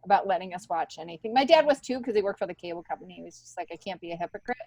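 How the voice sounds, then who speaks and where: A middle-aged American woman, a stand-up comedian being interviewed, telling stories casually with an animated, emphatic delivery. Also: on the low side, racing. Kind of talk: casual conversational storytelling.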